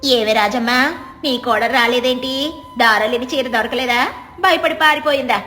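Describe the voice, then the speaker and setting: A woman's voice: fairly high pitch, moderate pace, pushed and bright, a little hiss or hum. A woman voicing a mocking, gossipy village woman in a story. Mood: taunting. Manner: taunting.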